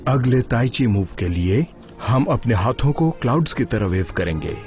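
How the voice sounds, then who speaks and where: A man's voice: mid-range voice, lively intonation, normal speed, lightly acted. A man playing a stern, patient tai chi teacher in a dubbed cartoon, speaking in an instructive, scolding way. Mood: firm, instructive. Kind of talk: Hindi-dubbed animated film acting. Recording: dull lo-fi audio, faint music.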